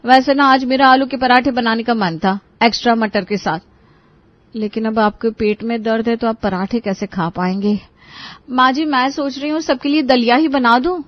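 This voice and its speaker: A woman voicing a scheming, bossy, sharp-tongued wife in a family cartoon, scolding and sarcastic. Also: fairly high pitch, steady tone, normal speed, no echo, lo-fi sound, lightly acted. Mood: sugary, feigned concern. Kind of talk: Hindi family cartoon voice acting.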